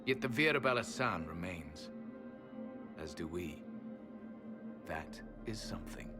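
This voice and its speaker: Casting: grave, deep-voiced immortal male elf guardian, world-weary, formal fantasy-game delivery. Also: lively intonation, a little slow, over loud music. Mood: bitter. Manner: grave, cryptic, formal pronouncements. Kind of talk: dramatic fantasy game acting.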